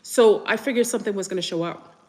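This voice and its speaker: A woman's voice: mid-range voice, quick pace, a little mellow, long reverb tail. A woman whose first language is French, chatting casually and rambling with viewers on a livestream, full of fillers and restarts. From United States. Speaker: livestream host.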